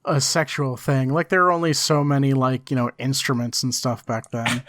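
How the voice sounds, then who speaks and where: A man with an average-pitched voice talks as a podcast co-host. His style is casual and conversational, with dry, wry comic banter. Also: fairly even intonation, normal speed, background noise.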